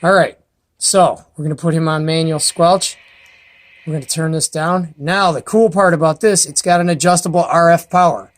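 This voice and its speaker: A man's voice: a little high, steady tone, moderate pace. Folksy, enthusiastic man reviewing gear. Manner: enthusiastic, folksy, rambling with fillers. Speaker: host of a CB radio review channel. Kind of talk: casual product review and unboxing. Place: at home, filming handheld by himself.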